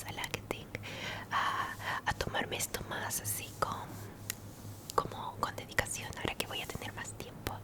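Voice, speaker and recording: A woman whispers in ASMR style, softly repeating words and phrases. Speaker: ASMR creator and channel host.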